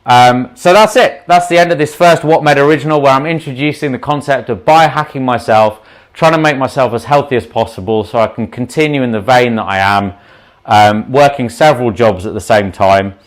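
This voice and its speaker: A man with a slight sore throat and a sniffle, a doctor talking straight to his viewers on a livestream. His voice sits at an ordinary middle pitch for a man. He speaks off the cuff, outspoken and impassioned, often drifting into asides. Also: brisk. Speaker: doctor giving a livestream talk. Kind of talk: unscripted livestream talk to viewers.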